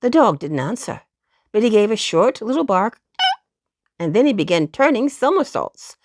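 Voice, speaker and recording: A woman's voice: mid-range voice, big pitch swings, normal speed, darkish tone, no room sound, studio quality. A woman narrating children's stories expressively, in a soothing manner that speaks straight to young listeners. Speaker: storyteller.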